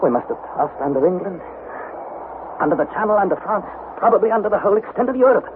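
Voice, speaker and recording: A man's voice: sits high, animated, fast, narrow, tinny audio. An actor playing an anxious young explorer in a vintage radio adventure, narrating his diary with theatrical intensity. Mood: grim, bleak. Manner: theatrical, intense, breathless delivery. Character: young male explorer, dramatic, anxious diarist. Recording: noisy, phone-thin vintage radio recording.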